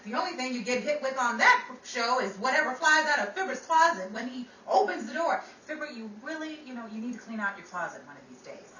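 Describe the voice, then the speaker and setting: A woman's voice: high-pitched, sing-song, a little fast, hardly any pauses, bright, forceful tone, heavily acted. A woman playing a chatty, wisecracking 1930s radio gossip gal in an old-time radio drama, with theatrical vintage patter. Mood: exasperated, wisecracking. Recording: roomy, distant mic with faint noise.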